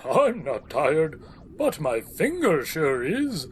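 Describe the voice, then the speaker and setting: An actor playing a jolly but weary, slightly sour old Santa Claus in a comic audio drama. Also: male voice, fairly high pitch, wildly swooping pitch, slow, heavily acted. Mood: weary, nostalgic.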